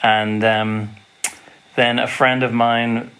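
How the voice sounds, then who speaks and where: A man's voice: mid-range voice, level tone, slow pace, fairly bright tone, clean tone, low-quality recording. A man, co-hosting a casual podcast, easygoing and teasing in his banter. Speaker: podcast co-host.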